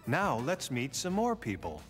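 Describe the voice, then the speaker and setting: Male teacher voice, slow and lively, with a long hall reverb. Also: medium pitch, music in the background. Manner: slow, clear, instructive. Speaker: narrator and teacher. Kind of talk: scripted lesson narration.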